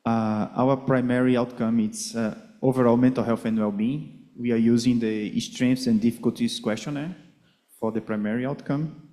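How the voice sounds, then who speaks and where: A man moderating a conference question session, speaking in a measured, polite way and laying out his questions step by step. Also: mid-range voice, fairly even intonation, mellow. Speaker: project coordinator and Q&A moderator. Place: conference hall.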